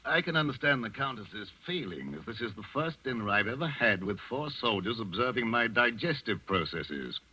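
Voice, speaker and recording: An actor playing a dignified, defiant physician with a measured, firm, formal way of speaking in 1940s radio drama style. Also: male voice, mid-range voice, expressive, pushed and bright, husky, exaggerated voice, low-quality recording. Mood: dry, polite.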